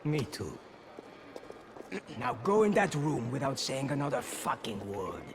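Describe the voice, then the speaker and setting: An actor playing a cold, commanding Soviet colonel in a video game. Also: male voice, medium pitch, big pitch swings, a little slow, background noise. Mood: cold, menacing. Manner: commanding, sardonic. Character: cold menacing Soviet colonel interrogating a suspected traitor. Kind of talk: cinematic video game voice acting.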